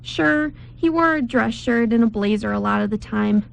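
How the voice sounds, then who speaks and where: A woman narrating a scripted amateur radio play with careful, deliberate reading. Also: a little high, creaky.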